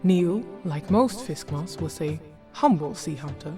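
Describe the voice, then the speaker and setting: Woman narrator, pretty low voice, measured storybook reading, loud music behind her. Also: animated.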